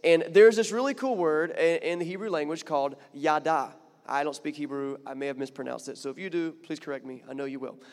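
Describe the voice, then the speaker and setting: A man's voice: on the high side, brisk, hardly any pauses, in a large hall. A man preaching a sermon in a chatty, conversational way, building to emphatic points and often repeating words. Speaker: pastor.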